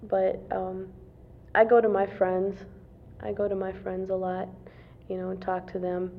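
Hesitant young woman, gravelly voice. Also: medium pitch, completely flat delivery, measured pace. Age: 20s. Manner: hesitant, many fillers and restarts. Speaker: college student interviewee. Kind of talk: casual interview answers. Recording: tinny phone-like lo-fi recording.

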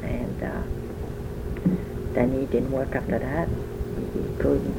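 A woman's voice: rumbling low, fairly even intonation, slow pace, vocal fry, very noisy. An older woman from France with a French accent, telling her life story in a rambling, conversational way in an interview. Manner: rambling, conversational, many restarts. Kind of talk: oral history interview.

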